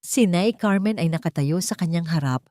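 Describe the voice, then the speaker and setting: A woman's voice: on the low side, moderate pace, mellow, no room sound, studio quality. A woman narrating a dramatic story in a solemn, melancholic scripted style.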